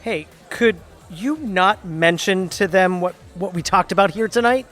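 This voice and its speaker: Slow, uneasy office guy. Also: sits high, fairly bright tone, faint music behind. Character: uneasy, hesitant male law-firm employee named Brian. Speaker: actor in an audio drama. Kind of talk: audio theatre acting.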